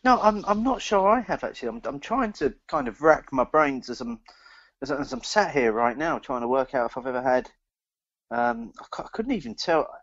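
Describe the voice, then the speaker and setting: A man co-hosting a podcast in casual review chat, haltingly repeating words as he thinks aloud. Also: medium pitch, sing-song. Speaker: podcast co-host. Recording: dull, slightly lo-fi voice recording.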